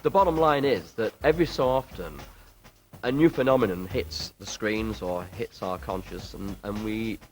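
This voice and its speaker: A man with a fairly low voice gives measured talking-head commentary. Faint music plays underneath on a VHS transfer.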